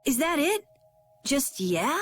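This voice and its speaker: A woman voicing an excitable, boastful young boy in a video game, earnest and whiny, easily flustered. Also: high voice, takes it slowly, heavily acted. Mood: surprised. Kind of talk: English video game dub acting.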